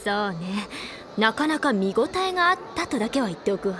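A woman's voice: fairly high pitch, big pitch swings, a little fast, background noise. Sly, teasing older schoolgirl. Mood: teasing, coy. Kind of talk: Japanese audio drama acting.

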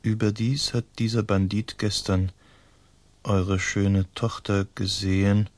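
Courtly, languid gentleman, lowish voice. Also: flat delivery, slow pace, dry recording. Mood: formal. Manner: formal, mannered, polite. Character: languid, courteous, faint-hearted young aristocratic gentleman. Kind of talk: German radio drama acting.

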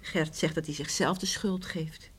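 A sorrowful village neighbour in a radio play. Her voice is fairly low and husky, and she speaks plainly, with a subdued, sighing concern. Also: fairly even intonation, unhurried, exaggerated voice. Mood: grave, concerned. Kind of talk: radio drama acting.

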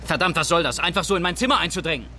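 Male actor as young hoodlum, forceful sharp voice, medium pitch, cocky, irritable, brash, radio crime drama. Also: expressive, normal speed, soft background music, lightly acted. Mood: irritated. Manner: aggressive, brash. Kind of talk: hard-boiled radio crime drama acting.

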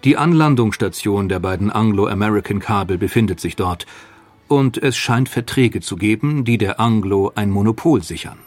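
A man narrating a history documentary in a steady, matter-of-fact way. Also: on the low side, normal speed.

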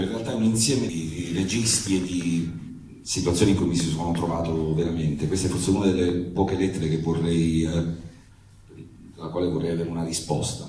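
A man speaking informally and haltingly as he introduces a reading. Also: low voice, fairly even intonation, a little mellow. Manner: hesitant, repeats words. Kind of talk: informal, rambling introduction to an audience. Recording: echoey room, distant mic, faint noise.

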